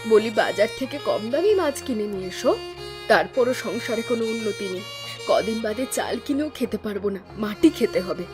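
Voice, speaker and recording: A woman voicing a village fisherman's wife in a cartoon folk tale, chatty and quick to complain or suspect. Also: fairly high pitch, animated, music in the background, lightly acted. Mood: complaining, suspicious. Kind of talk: animated folk-tale dialogue.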